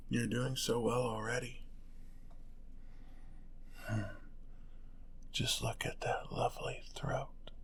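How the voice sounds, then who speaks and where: A man voicing a centuries-old, sadistic and possessive vampire lord in an ASMR audio roleplay, with a breathy voice, menacing and condescending in his endearments. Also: mid-range voice, exaggerated voice. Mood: predatory, hushed. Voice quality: breathy, often dropping toward a whisper.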